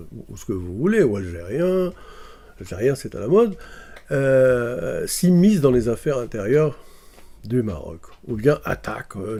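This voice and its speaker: A man's voice: mid-range voice, vocal fry, dark tone. A man from Morocco, talking casually to camera and hesitating often with fillers and restarts. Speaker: video commentator.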